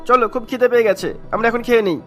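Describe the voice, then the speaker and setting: Scornful, argumentative son for a cartoon, female performer: extremely quick, very high voice, light music behind. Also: close to an everyday voice. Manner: dismissive, argumentative. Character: selfish, scornful grown son of village headman. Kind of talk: animated moral-tale dialogue.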